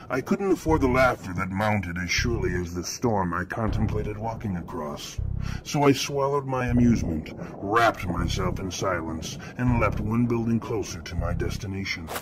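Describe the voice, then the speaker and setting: A man playing a grandiose, menacing killer who sees murder as art, narrating a horror audio drama in a florid, pompous, deliberate way. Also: on the low side, background noise. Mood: dark, suspenseful. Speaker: narrator of a horror fiction podcast. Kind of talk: scripted first-person horror narration, theatrical. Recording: narration over prominent music bed. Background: music.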